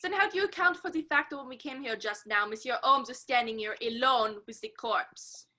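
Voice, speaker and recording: A woman's voice: on the high side, a little fast, bright, forceful tone. A woman playing a smug, accusing French police chief in a 1940s radio mystery, formal and sardonic.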